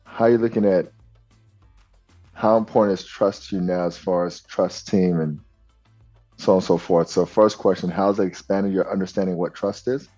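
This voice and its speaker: A man's voice: low voice, steady tone, moderate pace. A man hosting a testimonial interview, affirming and encouraging his guest. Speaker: host and coach. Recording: dull lo-fi sound, soft music.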